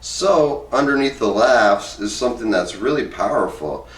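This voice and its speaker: A man's voice: mid-range voice, lively intonation, normal speed. A man reading book excerpts aloud in an informal, plain-spoken way. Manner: informal, plain-spoken, reads both sides of interviews in own voice. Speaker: book reader, presenter. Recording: roomy, echoey, distant microphone.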